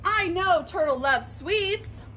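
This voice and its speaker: A woman's voice: very high-pitched, animated, slow, pushed and bright, soft background music, full character voice. A woman voicing a friendly young male cat from a storybook, earnest and emphatic. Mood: suspicious.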